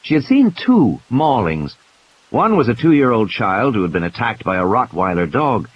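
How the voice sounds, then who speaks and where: A man's voice: mid-range voice, big pitch swings, normal speed, lo-fi sound. A man narrating an audiobook play in a dramatic, scripted storytelling style. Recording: hissy, noisy background.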